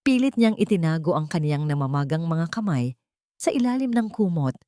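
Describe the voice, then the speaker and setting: Lady reading a dramatic audio story, lowish voice, really dry recording. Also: sing-song, brisk, warm tone, no room sound. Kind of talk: dramatic scripted narration.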